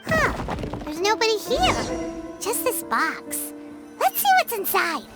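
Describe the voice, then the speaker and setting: Game fairy sidekick: extremely high squeaky child voice, wildly swooping, chirpy and curious, prominent music underneath. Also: female voice, sing-song, takes it slowly, exaggerated voice. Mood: eager, puzzled. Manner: exclamatory, refers to self in third person. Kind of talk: video game voice acting.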